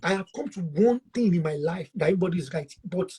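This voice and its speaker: A man moderates a panel discussion. His voice is high and a little husky, and he talks quickly. He asks probing questions and often restarts them mid-sentence. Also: expressive, warm tone. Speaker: talk show host.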